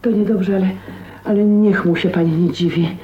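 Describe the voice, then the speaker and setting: An actress playing a doctor's chatty, fussing housekeeper in a radio drama, talking in a homely, fretful way. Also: female voice, medium pitch, fairly even intonation, moderate pace, natural voice. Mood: concerned, gossipy. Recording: dull radio recording, faint noise.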